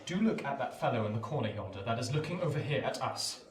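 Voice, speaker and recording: A woman playing an earnest, lovesick young gentleman in a radio play, in an imploring manner. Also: extremely low pitch, animated, fast talker. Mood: jealous pleading. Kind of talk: theatrical radio play acting. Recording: roomy echo.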